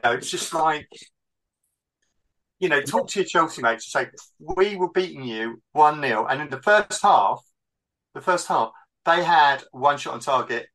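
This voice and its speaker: A man arguing a football point in a casual chat, animated and combative. His voice has a bright, forceful edge to it. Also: mid-range voice, poor audio. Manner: animated, argumentative.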